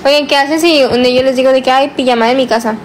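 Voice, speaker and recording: A woman's voice: sits high, steady tone, slight background noise. Chatty teen girl on a livestream. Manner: chatty, teasing, drawn-out words. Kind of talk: casual livestream chat, reading viewer comments. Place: small dim bedroom, indoors at night. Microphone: phone held close, selfie framing.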